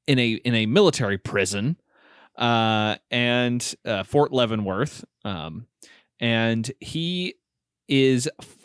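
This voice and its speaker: A man's voice: mid-range voice, clean tone, no echo, clean studio sound. A man co-hosting a podcast, recapping a film in casual chat with an amused manner. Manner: amused, often chuckling through words. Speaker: podcast co-host.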